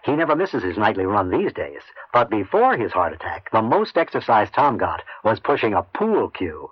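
A male announcer reads scripted narration for a radio spot. The voice is fairly low and husky, with very animated inflection, recorded dry. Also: normal speed, dry recording. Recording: dull vintage radio recording.

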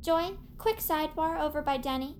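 A woman's voice: high-pitched, normal speed, a little mellow, soft background music, lightly acted. An actress playing a skeptical young woman, a wary survivor with a questioning, sarcastic delivery in an audio drama. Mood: distrustful. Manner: questioning, snarky.

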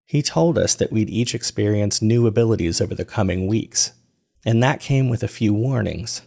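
A man narrating a first-person audio drama as a weary, reflective man, measured and introspective in his storytelling. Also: on the low side, gravelly, dark tone. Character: weary man recounting strange events, reflective and uneasy. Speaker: narrator and host of a fiction podcast.

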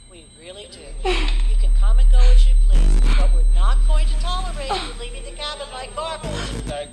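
A woman's voice: high voice, animated, fairly quick, loud music behind the voice. A middle-aged woman playing a disappointed, reproachful mother scolding her grown daughter in an audio drama. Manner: exasperated, reproachful. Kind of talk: audio fiction acting.

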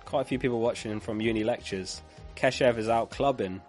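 Guy streaming live, voice going hoarse, chatty and laughing at chat comments, bit of music low behind. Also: a little fast, a little mellow. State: losing his voice. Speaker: fantasy football streamer.

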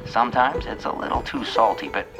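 Folksy radio narrator over loud music. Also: male voice, mid-range voice, animated, a little fast, vocal fry, rough recording. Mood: musing. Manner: folksy, rambling, confiding. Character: folksy, rambling radio show narrator, cheerfully odd. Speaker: narrator host. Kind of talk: old-time radio sign-off monologue.

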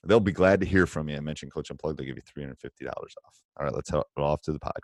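A man's voice: a bass voice, racing, gravelly, no room sound. A middle-aged man hosting a podcast, chatting casually in a rambling, enthusiastic way. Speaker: podcast host and basketball coach. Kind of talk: casual podcast interview chat.

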